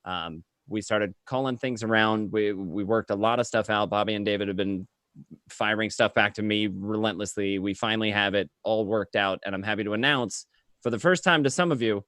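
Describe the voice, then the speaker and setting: A man hosting a casual, excitable panel chat as interviewer. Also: on the low side, normal speed, clean tone, no echo. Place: remote group call from home.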